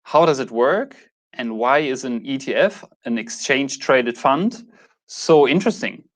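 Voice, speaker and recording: A man in his thirties speaks English with a German accent. His pitch sits in an ordinary middle range for a male voice. He talks casually, as a YouTuber does to viewers, feeling his way through sentences and often rewording them. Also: expressive, measured pace. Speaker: YouTube host. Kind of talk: casual talk to camera, introducing a channel.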